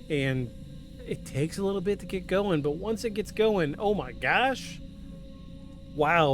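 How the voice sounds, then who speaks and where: Male podcast host, fairly high voice, effusive, mixes scripted narration and casual asides. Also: slight background noise. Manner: effusive, repeats himself for emphasis. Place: at home. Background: music.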